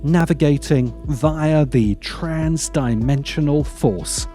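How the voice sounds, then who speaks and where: A man narrating a scripted video essay in a measured way, with emphatic pauses and wry asides. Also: fairly high pitch, mellow, soft background music.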